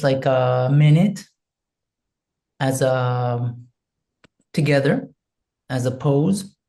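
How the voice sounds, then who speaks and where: A man from Israel with an Israeli accent, speaking in English as an interview guest, soft and hesitant, searching for words and leading a quiet prayer. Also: medium pitch, vocal fry. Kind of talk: slow reflective interview talk with a spoken prayer.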